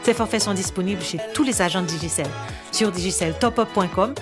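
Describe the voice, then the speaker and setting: A woman's voice: mid-range voice, fairly quick. A woman reading a scripted promotional radio advertisement as a commercial announcer. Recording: voice over a music bed. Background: music.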